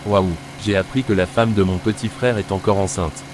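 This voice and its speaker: A man's voice: deep voice, fairly even intonation, moderate pace, noisy background, lightly acted. A man voicing a jealous, scheming older brother. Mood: resentful.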